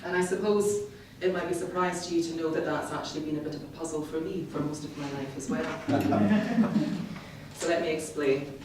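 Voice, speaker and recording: A woman's voice: low-pitched, a little fast. Echoey, distant woman speaker. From Scotland, born in the Highlands. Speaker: club member giving a first speech. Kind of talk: prepared public speech. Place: indoor meeting room, in front of an audience. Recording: very echoey room, distant mic, clearly noisy.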